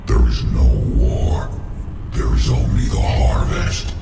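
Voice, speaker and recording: Immensely deep, echoing ancient monster. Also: male voice, steady tone, heavily acted. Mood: menacing, wrathful. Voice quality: very deep, gravelly, booming. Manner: slow, ominous, declarative. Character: ancient menacing monster speaking through a possessed host. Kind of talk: video game villain acting. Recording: echoing, distant, under loud music.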